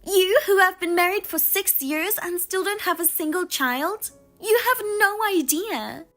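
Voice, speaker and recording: A woman voicing a pushy, scornful sister-in-law who is a working mother, talking down to her in a sharp, mocking way. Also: extremely high pitch, a little slow, soft background music, voice pushed up, full character voice. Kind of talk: dramatized story reading.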